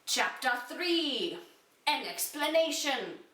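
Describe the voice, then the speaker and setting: A high-pitched woman gives a dramatic reading of a story as its narrator. She takes it slowly, line by line. The sound is roomy, recorded some way from the microphone. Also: sing-song, bright, forceful tone. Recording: roomy echo, distant microphone.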